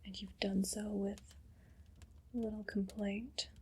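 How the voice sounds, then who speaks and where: A woman playing a haughty, condescending evil queen in an ASMR roleplay, speaking in a breathy, deliberate whisper. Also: medium pitch, level tone. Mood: cool, suspicious. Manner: slow, deliberate, imperious.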